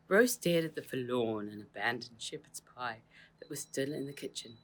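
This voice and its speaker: A woman reading a children's story as its author, in a measured, expressive storytelling manner. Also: rumbling low. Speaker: author reading their own book. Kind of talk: children's audiobook narration.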